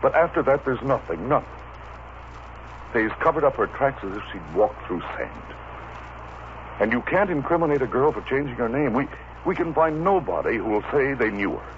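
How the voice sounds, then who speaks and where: An actor playing an ardent, protective gentleman lover in an old radio soap, with a commanding, melodramatic delivery. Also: mid-range voice, big pitch swings, normal speed, lightly acted. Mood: urgent, frustrated. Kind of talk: old-time radio soap opera acting. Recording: muffled, noisy vintage radio recording.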